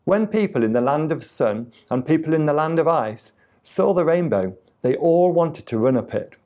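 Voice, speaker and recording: A man reading a children's tale aloud as a storyteller, with deliberate, expressive delivery. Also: fairly high pitch. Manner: deliberate, expressive reading with acted sound effects.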